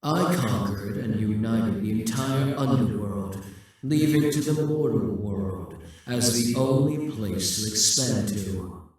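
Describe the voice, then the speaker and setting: Demon king, male performer: brooding, grandiose audio-drama villain, unhurried delivery, distant and drenched in echo. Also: on the low side, lively intonation, darkish tone. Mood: proud, imperious. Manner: slow, deliberate, condescending, grandiose. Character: possessive, brooding demon king risen from death, seductive and imperious. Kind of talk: scripted audio roleplay monologue. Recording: echoing, reverberant, distant from the mic.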